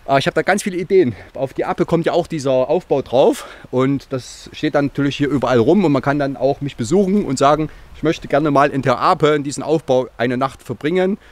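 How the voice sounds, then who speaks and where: A man's voice: on the high side, a little fast, hardly any pauses. A man in his forties with a slight cold, chatting casually and rambling cheerfully to a handheld camera outdoors on a rural yard. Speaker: vlogger. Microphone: handheld camera at arm's length.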